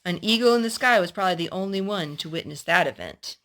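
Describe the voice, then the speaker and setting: A woman's voice: fairly low pitch, animated, fast, fairly bright tone. A woman storyteller reading a historical children's story aloud to a young listener.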